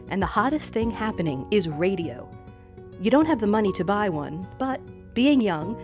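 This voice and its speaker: A woman reading scripted narration for an educational video, speaking directly to young listeners. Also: mid-range voice. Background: background music.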